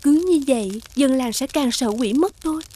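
A woman voicing a gentle, earnest young servant girl in an animated fairy tale. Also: sits high, normal speed. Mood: thoughtful. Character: gentle young servant girl who sings folk songs. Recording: music behind the voice.

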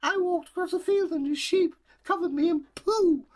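A whiny little storybook girl, acted out by a man in a very high falsetto. She is dramatic and easily upset, with a loud, shrill delivery. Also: moderate pace. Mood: pleading, tearful. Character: silly, distraught little girl in muddy dress.

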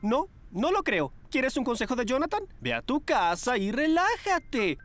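A man's voice: extremely high pitch, huge pitch swings, measured pace, fairly bright tone, soft background music, full character voice. A man playing a flippant young male stylist in a cartoon dub, flamboyant and breezy. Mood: breezy, soothing. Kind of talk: animated children's cartoon dub.

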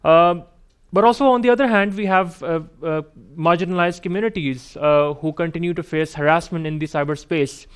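A man's voice: on the high side, a little fast, smooth. A man from Pakistan with a Pakistani accent, speaking semi-formally as a panelist at a conference. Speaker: panelist, digital rights journalist. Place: seated on stage in a conference room.

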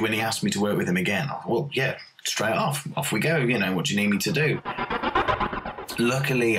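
A man with a low voice speaks casually, reminiscing as a composer in an interview. Music plays softly behind him. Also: poor audio.

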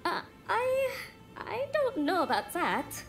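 A woman's voice: very high-pitched, huge pitch swings, slow pace, exaggerated voice. An actress playing a modest, bashful young woman who tends the wounded in a video game cutscene. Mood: flustered. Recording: game dialogue over clear background music.

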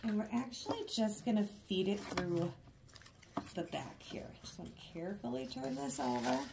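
A woman with a froggy, hoarse voice chats through a craft tutorial while working at a coffee table in her living room. She is self-deprecating and laughs at her own mistakes. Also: a little high, animated, normal speed, heavy background noise. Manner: chatty, self-deprecating, giggly. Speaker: craft vlogger. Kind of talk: casual DIY tutorial narration.

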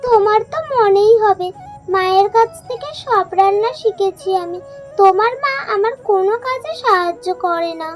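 A woman's voice: very high voice, moderate pace. A woman voicing a scheming, spiteful young daughter-in-law in a cartoon folk tale. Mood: defensive, complaining. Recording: music behind the voice.

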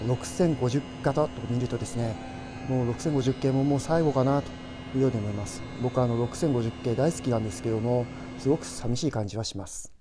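A man giving unhurried, casual travel vlog narration, explaining things in drawn-out phrases. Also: mid-range voice. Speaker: railway travel vlogger. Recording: clear train and station noise behind.

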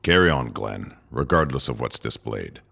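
A man plays a calm commander in a sci-fi audio drama. His voice is extremely low and full of gravelly creak. He gives orders with steady authority. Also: normal speed, heavily acted. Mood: calm, commanding. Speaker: voice actor.

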